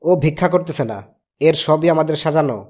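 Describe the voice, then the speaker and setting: Cartoon uncle played by a man: exasperated, reluctant, rapid talker, Bangladeshi accent. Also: on the high side, steady tone, darkish tone, close to an everyday voice. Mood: reasoning. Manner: grumbling, reasoning.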